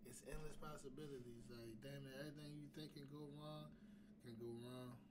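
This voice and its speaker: A man gives casual, off-the-cuff commentary as a show host. His delivery is slangy and opinionated, often repeating phrases as he works through a point. His pitch sits in the middle, and clear noise runs behind him. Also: steady tone, fairly quick, far from the mic. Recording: clearly noisy background.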